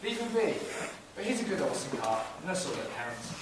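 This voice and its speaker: A man's voice: fairly high pitch, big pitch swings, a little fast. A man playing a cocky, wisecracking London stray cat in a theatrical stage pantomime. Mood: scheming. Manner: theatrical, calls out to the audience. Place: stage in a school hall. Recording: echoey school hall, distant mic, noisy.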